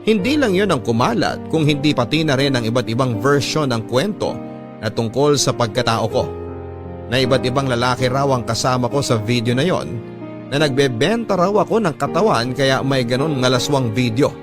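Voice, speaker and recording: A man's voice: medium pitch, lively intonation, normal speed. A man with a Filipino accent narrating a first-person dramatic story as a radio drama storyteller. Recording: music behind the voice.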